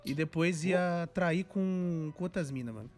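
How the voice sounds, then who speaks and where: A man's voice: on the high side, a little slow, darkish tone, soft background music. A young man, chatting and joking on a podcast, rambling and repeating himself in slangy street talk. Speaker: podcast co-host. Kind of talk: casual joking chat.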